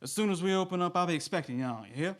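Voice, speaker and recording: A man's voice: sits high, lively intonation, racing. A man with a Southern US accent playing an easygoing, folksy club owner in scripted comedy. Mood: reassuring.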